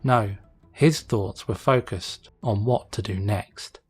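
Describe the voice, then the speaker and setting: A man's voice: on the low side, takes it slowly, slightly rough. A man narrating a story as a scripted, dramatic reading. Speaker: fan fiction reader, narrator. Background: music.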